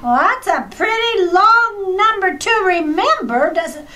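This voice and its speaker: A woman's voice: extremely high pitch, big pitch swings, unhurried, bright, forceful tone, heavily acted. A woman acting as a cheeky, stubborn little girl with whiny, childish speech in an old-time radio comedy. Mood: innocent curiosity.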